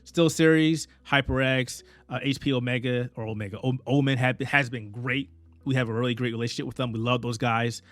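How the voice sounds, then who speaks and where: A man's voice: medium pitch, steady tone, brisk, fairly bright tone, no room sound. Earnest man with a fading voice. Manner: rambling, earnest, stumbles and restarts often. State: losing his voice. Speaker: head of a small gaming and anime media outlet. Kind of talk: casual talk to camera.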